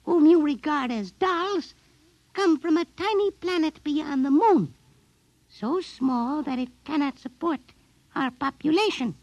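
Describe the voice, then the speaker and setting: A woman's voice: high voice, expressive, unhurried, darkish tone, dry recording, lo-fi sound. A woman playing a tiny alien doll in a 1950s radio drama, speaking with formal, precise, smug menace. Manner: precise, formal, smugly threatening. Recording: vintage radio drama with music.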